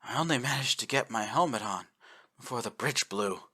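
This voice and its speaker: A man voicing a dying admiral, speaking in a soft, raspy, plodding voice that stalls and breaks off between words. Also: mid-range voice, lively intonation. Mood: resigned. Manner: slow, halting, quiet, breaking off mid-sentence. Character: aging male admiral, dying, fading over comms.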